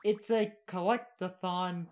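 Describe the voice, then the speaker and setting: A young woman co-hosting a let's play with casual, animated gaming commentary. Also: lowish voice, slow pace. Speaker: let's play co-host and editor. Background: video game music.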